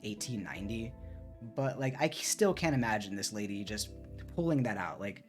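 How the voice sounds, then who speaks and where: A man's voice: fairly low pitch, animated, moderate pace. A man reading a book aloud expressively on a stream, with casual commentary, close to the microphone. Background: soft music.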